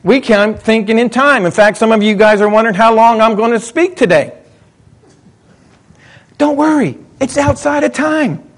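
High-voiced man preaching conversationally. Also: moderate pace. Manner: conversational, swinging between excited highs and muttered asides. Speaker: pastor. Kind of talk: sermon.

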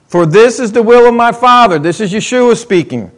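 A middle-aged man preaching a sermon in a conversational way, swinging between excited, emphatic lines and quiet muttered asides. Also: very high voice, moderate pace. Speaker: pastor.

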